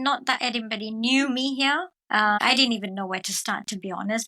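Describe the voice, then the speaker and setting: A woman's voice: fairly high pitch, pushed and bright, low-quality recording. A middle-aged woman from Mayotte telling her own story conversationally as an interview guest. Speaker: podcast guest, dance teacher.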